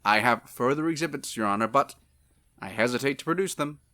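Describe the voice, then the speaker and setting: A woman's voice: very deep voice, expressive, a little fast, fairly bright tone. A woman playing an earnest, confident male lawyer in a radio drama. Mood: confident, declamatory. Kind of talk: radio play acting.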